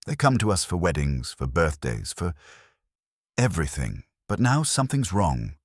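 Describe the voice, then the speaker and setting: A man voicing an anxious, determined woman who owns a bakery, halting and earnest in a detective story. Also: low voice, big pitch swings, no room sound, clean studio sound. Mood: worried, grave.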